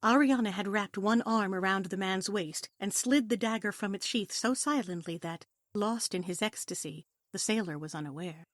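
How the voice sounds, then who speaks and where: A woman's voice: mid-range voice, normal speed, hardly any pauses, no echo, clean studio sound. A woman reading scripted story narration for an audio play in a dramatic storytelling style.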